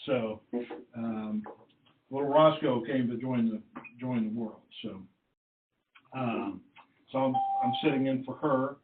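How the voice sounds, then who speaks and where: A man speaking haltingly and politely as a staff official running a formal committee meeting on a conference call. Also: medium pitch, noisy background. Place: conference call, roomy sound. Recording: muffled, echoey conference call line.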